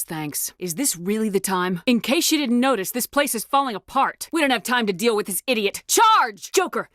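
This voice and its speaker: Woman acting as tough female space commander in a video game, firm n clipped, mid-pitch, super dry recording. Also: no room sound, lightly acted. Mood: urgent, shouting. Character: commanding female military officer, steely, determined space soldier. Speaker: voice actor.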